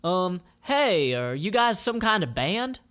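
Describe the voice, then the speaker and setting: Man putting on an eager, higher-pitched young princess. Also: big pitch swings, takes it slowly, a bit bright, full character voice. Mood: eager, chatty.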